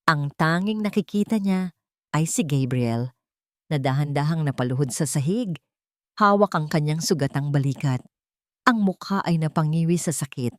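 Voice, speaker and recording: Low-voiced Filipino woman narrating dramatic romance. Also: lively intonation, moderate pace, no room sound, studio quality. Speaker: story narrator.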